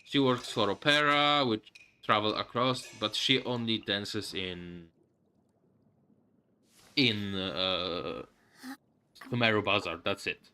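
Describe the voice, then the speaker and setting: Male streamer, lowish bright voice, slow rambling casual commentary, faint music behind. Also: slight background noise. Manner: hesitant, rambling, thinking aloud. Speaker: game streamer. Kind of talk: casual live gaming commentary.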